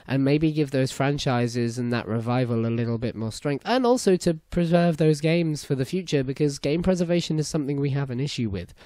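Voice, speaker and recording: A young British man with a croaky voice hosting a solo gaming news podcast, chatty and opinionated. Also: fairly high pitch, mellow, no echo. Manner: chatty, opinionated, apologetic asides. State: hoarse, croaky voice after a concert. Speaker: podcast host.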